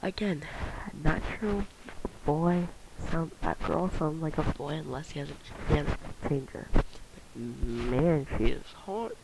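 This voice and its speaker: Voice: mid-range voice, unhurried, vocal fry, background noise. A speaker giving casual off-the-cuff reaction commentary, muttering and often dropping to a whisper. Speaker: reaction video host.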